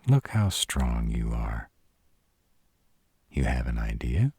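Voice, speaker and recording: A man's voice: a bass voice, very animated, mellow, slightly rough, no echo, heavily acted. A man playing a protective, devoted but brash were-dragon lover in a roleplay audio drama, speaking huskily and bluntly, with tenderness for a partner. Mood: affectionate, protective. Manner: slow, crude-tongued, tender with partner.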